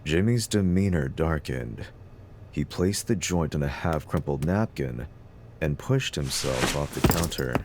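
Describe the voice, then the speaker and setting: A man's voice: very deep voice, lively intonation, unhurried, a little mellow, slight background noise. A man narrating a scripted story as the narrator of an audio drama.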